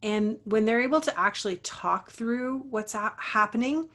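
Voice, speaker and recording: A woman's voice: fairly high pitch, moderate pace, a bit bright. A woman voice teacher and coach, an interview guest who talks earnestly and encouragingly, with lots of casual fillers. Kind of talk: interview talk.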